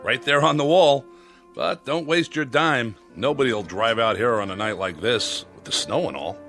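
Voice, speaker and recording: A man's voice: medium pitch, normal speed, fairly bright tone, soft background music, natural voice. A man playing a chatty, curious diner owner in a radio drama, with a folksy, plain-spoken way of talking. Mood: hospitable, breezy. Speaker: actor in a radio play.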